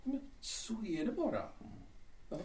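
A man's voice: sits high, huge pitch swings, darkish tone, distant mic, exaggerated voice. A man playing a boastful vain stage star. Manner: boastful and rambling, full of hesitations. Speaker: star singer-actor Peter. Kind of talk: audio drama acting. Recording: echoey room, voice often off-mic.

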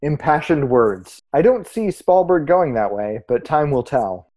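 A man playing a measured, analytical co-host of a theatre prediction show, trading scripted podcast commentary. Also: on the high side, sing-song, a little mellow. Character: measured, analytical co-host giving casting odds.

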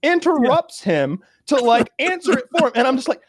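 A man's voice: very high-pitched, a little fast, no echo, clean studio sound. A man co-hosting a podcast, telling stories in a loose, laughing way and swearing freely. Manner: animated storytelling, swears freely, laughs often. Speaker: podcast co-host. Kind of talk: casual chat.

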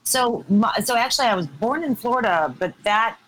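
A woman's voice: a little high, expressive, moderate pace, a bit bright, slight background noise, low-quality recording. A woman in her fifties with an American accent, telling stories casually as a podcast guest. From Ohio, United States. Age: 50s. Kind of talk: casual conversational storytelling.

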